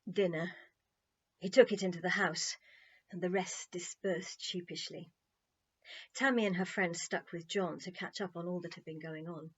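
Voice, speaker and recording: A woman's voice: lowish voice, steady tone, moderate pace, husky, dull audio. A woman narrating a children's story expressively, in a playful, wry, conversational way.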